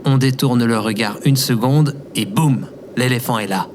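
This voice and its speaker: A man's voice: medium pitch, moderate pace, heavy background noise, close to an everyday voice. Man playing a wry, skeptical companion. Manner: sarcastic, casual. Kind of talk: audio drama acting.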